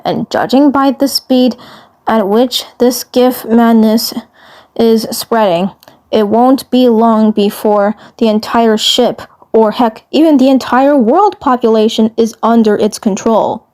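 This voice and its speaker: A woman reads as a grave cartoon commander with a slowish, fairly high voice. The commander sounds concerned and hesitant, delivering serious warnings in a fan-made story. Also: darkish tone. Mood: worried. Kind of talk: fan fiction cartoon voice acting.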